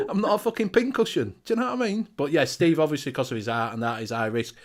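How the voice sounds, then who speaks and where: Northern english guy hosting a vape stream, kinda high voice, swears a lot, chatty. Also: animated, a little fast. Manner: swears freely, laughs, reads out viewer names. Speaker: show host. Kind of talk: casual live-stream chat.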